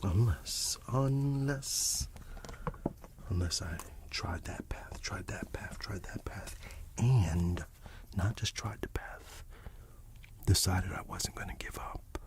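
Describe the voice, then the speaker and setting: A man giving an encouraging, rambling ASMR monologue that slips between a breathy whisper and soft speech, often repeating himself. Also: sits low, slow pace. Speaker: ASMR channel host.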